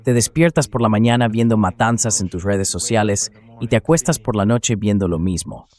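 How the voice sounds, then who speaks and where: A man's voice: fairly low pitch, moderate pace, darkish tone. A man giving conversational, emphatic political commentary as an interview guest, often digressing as he talks.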